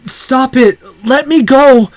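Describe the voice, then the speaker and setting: A man's voice: very high-pitched, slow, no echo, voice pushed up. A man voicing a frightened, pleading teenage girl in a visual novel, desperate in manner.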